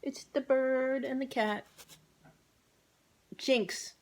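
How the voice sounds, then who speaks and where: A woman's voice: high-pitched, fairly even intonation, extremely slow, slight background noise. A woman casually reading a children's book aloud as narrator, with informal asides. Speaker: amateur storybook reader.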